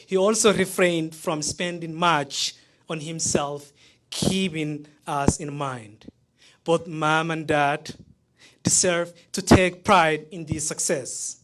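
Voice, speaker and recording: A man in his thirties from Somalia, speaking with a Somali accent as he gives a prepared, heartfelt graduation speech, pausing between phrases. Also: fairly high pitch, fairly even intonation, noisy background. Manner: measured, heartfelt delivery with pauses between phrases.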